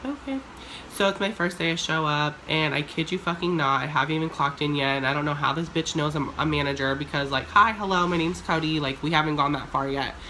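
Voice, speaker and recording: A woman in her twenties, raspy from a cold, rambling through a profane, sarcastic storytime vlog. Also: deep voice, fast talker, sharp tone, a little hiss or hum, rough recording. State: sick with a cold. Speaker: vlogger.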